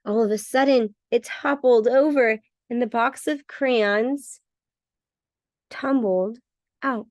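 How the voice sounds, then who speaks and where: A woman reading a bedtime story for children in an expressive, emphatic way. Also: fairly high pitch, takes it slowly, dry recording, studio quality. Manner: expressive, emphatic with repeated words. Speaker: storybook reader.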